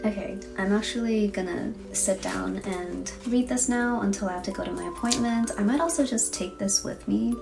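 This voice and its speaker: A woman's voice: mid-range voice, normal speed, gravelly, hardly any pauses. A young woman in a casual diary vlog, talking in a tired, chatty, self-deprecating way. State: hoarse, tired voice. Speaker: vlogger. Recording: distant mic with loud music behind.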